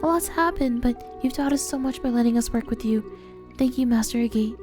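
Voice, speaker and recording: Gal doing a warm hearted teen game girl, raised pitch, playful n frank, plucky. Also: fairly quick, music in the background, natural voice. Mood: affectionate.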